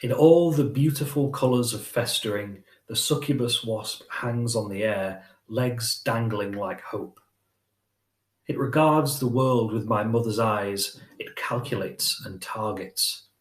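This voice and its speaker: A man's voice: medium pitch, a little slow, roomy sound. A man giving a dramatic reading of poetry, with a short spoken introduction. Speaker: poetry reader.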